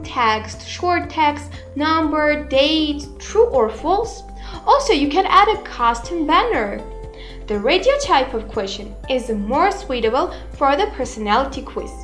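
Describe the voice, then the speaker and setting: A woman in her twenties reading a scripted software tutorial in a deliberate, presentational way. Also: high-pitched, big pitch swings. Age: 20s. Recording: roomy echo, music behind. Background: background music.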